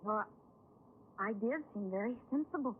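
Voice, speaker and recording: A woman's voice: mid-range voice, moderate pace, natural voice. An actress playing a nervous, frightened woman in an old radio thriller, hesitant and anxiously polite. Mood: uneasy. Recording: tinny, noisy old radio broadcast.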